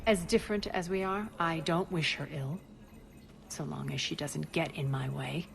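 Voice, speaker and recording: A woman playing a ruthless, imperious crime boss in a video game, commanding, sardonic and deliberate. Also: sits low, steady tone, vocal fry, slight background noise. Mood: menacing, intense. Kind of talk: dramatic video game voice acting.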